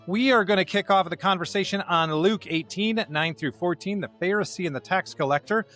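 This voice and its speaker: A man's voice: sits high, brisk, fairly bright tone, soft background music. An American man, a pastor co-hosting a podcast, speaking in an upbeat, emphatic way as he introduces and chats casually. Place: studio.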